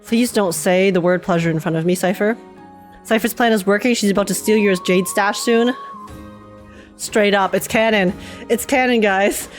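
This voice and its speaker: A woman's voice: mid-range voice, moderate pace, soft background music. A woman streamer giving casual, joking, blunt and slangy live reaction commentary on a game.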